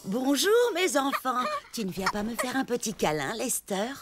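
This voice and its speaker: A woman voicing a doting, fussing old grandmother elephant in a French children's cartoon, expressive and quick to scold. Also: on the high side, close to an everyday voice. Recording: music behind the voice.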